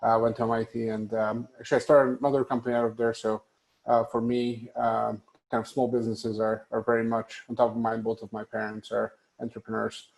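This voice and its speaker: A man with a Hungarian accent speaking as a guest on a video-call panel, halting with many fillers and restarts. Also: mid-range voice, monotone, gravelly, darkish tone, rough recording. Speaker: panel guest, tech executive. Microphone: video call webcam.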